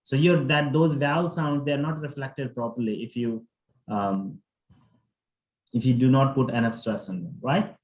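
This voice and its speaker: A male English exam coach gives an interactive lesson in an echoey room. His voice sits at a middle pitch, and he instructs by repeating words and phrases for emphasis. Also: steady tone, normal speed, darkish tone. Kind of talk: interactive lesson, correcting pronunciation.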